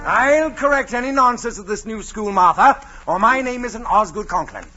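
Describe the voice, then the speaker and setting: Blustering, barking, high-pitched school principal. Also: male voice, expressive, normal speed, over loud music. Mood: pompous, menacing. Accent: American. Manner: barking, pompous, exasperated. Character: blustering, bad-tempered middle-aged male school principal. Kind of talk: 1940s American radio comedy acting. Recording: dull old 1940s radio recording.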